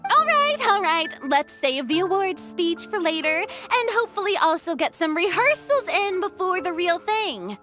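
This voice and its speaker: Bright, theatrical young woman director. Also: very high-pitched, big pitch swings, normal speed, few pauses, faint music behind, exaggerated voice. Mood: triumphant. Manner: dramatic, exclamatory, commanding. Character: theatrical, high-spirited young female film director. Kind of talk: animated video game voice acting.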